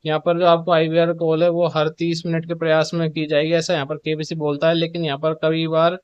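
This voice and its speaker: A man's voice: a little high, completely flat delivery, a little fast, smooth, dry recording, lo-fi sound. A man presenting updates in an informal, instructional explainer style, with repetitive phrasing. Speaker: video presenter giving show updates.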